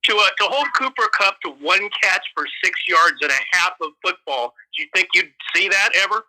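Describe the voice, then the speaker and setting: A man's voice: high voice, brisk, sharp tone, rough recording. A man asking questions as a sports reporter on a press conference call.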